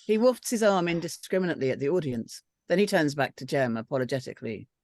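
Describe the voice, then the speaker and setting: A woman's voice: sits low, lively intonation, fast talker, darkish tone, no room sound, studio quality. A woman reading out screenplay stage directions as scripted narration.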